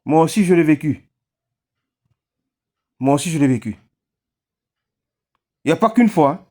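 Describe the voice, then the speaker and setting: A man chatting casually at home, telling a story in a halting, hesitant way. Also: a little high, expressive, a little mellow. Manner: hesitant, halting, many fillers. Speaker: vlogger.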